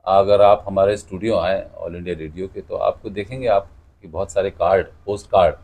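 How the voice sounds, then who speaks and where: A man's voice: sits low, steady tone, fairly quick, vocal fry, a little mellow. A middle-aged man, answering interview questions conversationally and explaining through anecdotes. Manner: explanatory, tells anecdotes with quoted dialogue. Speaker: interview guest, cartoonist and radio announcer.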